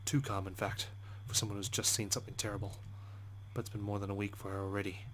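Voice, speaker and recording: A low-pitched man reads visual novel narration aloud as a let's play host. He reads expressively and swears often, adding his own side comments. There is noticeable noise behind his voice. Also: level tone, fast talker, a bit bright.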